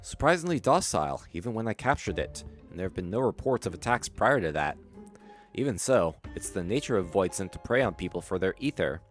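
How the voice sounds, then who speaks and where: Guy reading out a scout soldier, lively up and down delivery, bit of music behind. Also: sits low, fairly quick. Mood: wary. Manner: reading game dialogue aloud, lively. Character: earnest scout soldier reporting a creature.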